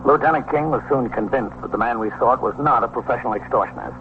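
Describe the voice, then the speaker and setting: A police captain played by a male actor narrates a vintage procedural radio drama. His mid-pitched delivery is factual and orderly. The sound is thin and phone-like, with faint noise. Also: fast, close to an everyday voice. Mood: measured, factual. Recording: thin, phone-like, noisy old radio recording.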